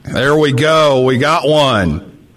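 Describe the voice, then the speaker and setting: A man hosting a live radio talk show from a hotel, talking in a halting way with many fillers and restarts. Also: medium pitch, expressive, a bit bright. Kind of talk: live call-in show banter. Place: hotel room during a convention.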